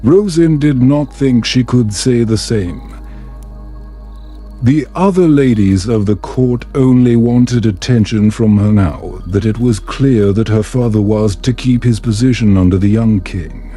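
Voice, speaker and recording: A man narrating a story in a calm, soft, soothing and measured way, meant for bedtime. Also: medium pitch, soft background music.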